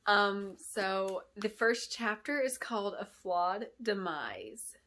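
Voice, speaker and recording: A woman's voice: medium pitch, expressive, slow, fairly bright tone. A woman author presenting and reading her own fantasy story in a storybook narration style. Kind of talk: storybook narration with spoken introduction.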